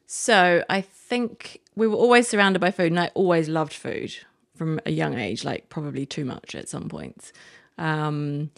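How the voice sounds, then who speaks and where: Gravelly-voiced New Zealand woman chef. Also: deep voice, a little slow. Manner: chatty, enthusiastic, rambling with many restarts. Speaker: chef guest. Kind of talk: casual interview chat.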